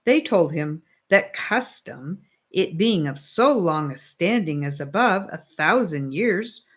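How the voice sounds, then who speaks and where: A woman narrating an old religious allegory as an audiobook, with measured, solemn storytelling. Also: fairly low pitch, animated. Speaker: audiobook reader.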